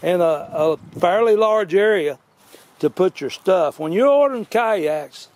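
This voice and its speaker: A man's voice: high voice, expressive, unhurried, a little mellow, slight background noise. Folksy older man outdoors. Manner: rambling, folksy, self-correcting. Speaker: vlog host. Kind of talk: casual outdoor demo. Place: outdoors on a grassy yard.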